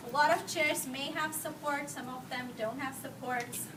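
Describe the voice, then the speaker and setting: A woman's voice: sits high, steady tone, normal speed, background noise. A woman physical therapist giving a friendly talk to a group, laughing often and checking they follow. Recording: echoey hall, mic far off.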